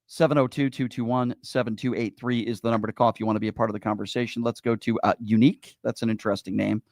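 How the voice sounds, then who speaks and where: A man's voice: medium pitch, little pitch movement, quick pace, a little mellow, no echo, studio quality. A man hosting a radio call-in show, talking politics in an opinionated, blunt and confrontational way.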